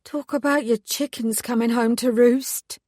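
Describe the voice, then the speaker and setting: A woman's voice: on the high side, steady tone, measured pace, a little mellow, no echo, studio quality, pitched above the performer's own voice. A woman voicing an effusive, chatty woman in her mid-forties who gushes and gossips. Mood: gossipy.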